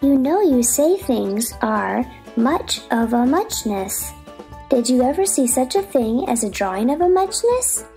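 A woman's voice: high voice, sing-song, a little slow, mellow, faint music behind, exaggerated voice. A woman voicing a sleepy, touchy dormouse telling a rambling story in a stage play reading. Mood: dreamy. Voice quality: hoarse, feeble voice.

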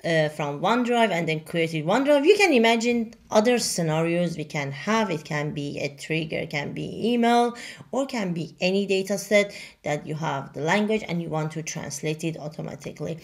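A woman whose first language is Farsi, talking through a software demo in halting English with many fillers. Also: on the low side, lively intonation. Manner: halting, many fillers and restarts, non-native grammar. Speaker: software tutorial presenter. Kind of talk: screen-recorded software walkthrough.

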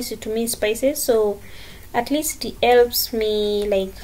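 A woman's voice: a little high, darkish tone, slight background noise. A young adult woman with a Kenyan accent, chatting casually as a vlogger. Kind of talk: casual vlog chat, mixing English and Swahili.

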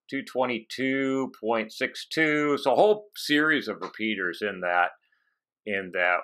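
A man in his fifties hosting a casual technical interview on a headset from a home office, haltingly thinking aloud. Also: mid-range voice, a bit bright, smooth. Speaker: podcast host. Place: home office with bookshelves, on a video call.

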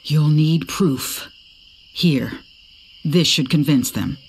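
A woman playing a proud, defeated master of the sword in a video game, deliberate and begrudging. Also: deep voice. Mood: resigned, bitter. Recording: night insect ambience behind.

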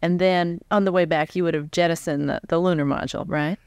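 An American woman in her forties conducts an interview in a conversational, slightly halting way. Her voice is on the low side, smooth and clear, with a dry, close sound. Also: steady tone, darkish tone, no room sound. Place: seated on a stage before a live audience. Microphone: large microphone on stand close to mouth.

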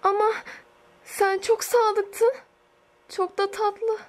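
Woman acting a very high-pitched spoiled teen diva. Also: flat delivery, extremely slow, full character voice. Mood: hurt, indignant. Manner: bossy, affected. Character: haughty, spoiled teenage high school diva girl. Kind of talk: amateur fan dub acting.

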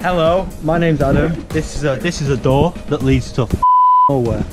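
A man's voice: a little high, normal speed, over loud music. A young man with a northern English accent, joking uneasily while filming inside a derelict building. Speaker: friend exploring. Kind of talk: casual chat, filming.

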